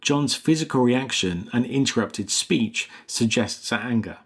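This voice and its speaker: A man narrating a scripted lesson as a writing coach, in a measured, explanatory way. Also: mid-range voice, expressive, breathy edge.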